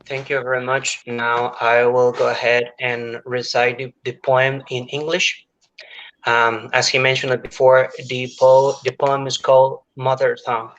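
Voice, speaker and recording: A man reading a poem in measured lines, with a few small stumbles. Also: mid-range voice, little pitch movement, fairly bright tone, a little hiss or hum. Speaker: poet reading a translation. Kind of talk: poetry recitation. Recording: dull lo-fi online call audio.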